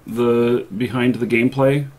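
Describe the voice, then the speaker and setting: A man with a soothing voice hosting a casual interview, talking in a hesitant, halting way. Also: medium pitch, flat delivery, a little mellow. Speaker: show host interviewing guests. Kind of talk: casual chat.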